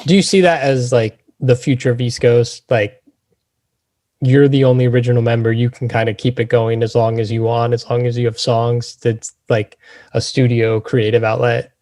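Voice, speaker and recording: A male podcast host in relaxed, informal conversation with a guest. His voice sits at a middle pitch as he puts in questions and short responses. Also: moderate pace. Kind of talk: casual interview chat.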